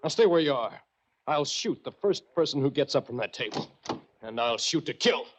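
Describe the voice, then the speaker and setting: An actor playing a cornered, menacing killer in a 1940s radio mystery, terse and threatening. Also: male voice, mid-range voice, expressive, normal speed, a little hiss or hum, close to an everyday voice. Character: cornered, menacing male killer holding people at gunpoint. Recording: dull vintage radio recording.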